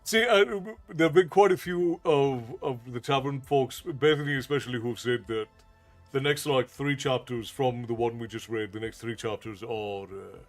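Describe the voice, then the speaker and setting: A man's voice: mid-range voice, moderate pace. Brief: man in his forties, Americanized Southern Irish accent, folksy stream host with faint music behind. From Ireland. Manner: folksy, thanks chat by name, calls viewers "love". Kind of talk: casual livestream chat.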